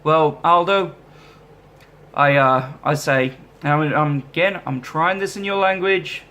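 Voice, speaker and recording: Easygoing man, fairly high voice. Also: a little slow, sharp tone. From Australia. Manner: easygoing, self-deprecating, rambling with fillers. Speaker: musician vlogger. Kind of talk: casual vlog chat answering viewer questions.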